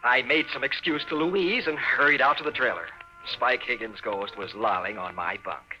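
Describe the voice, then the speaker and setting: A man's voice: medium pitch, normal speed, over music, natural voice. An actor playing a nervous, stammering, desperate young fiancé in an old-time radio drama, telling his story. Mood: jumpy. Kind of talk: old-time radio dramatic acting and first-person narration. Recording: dull vintage radio recording.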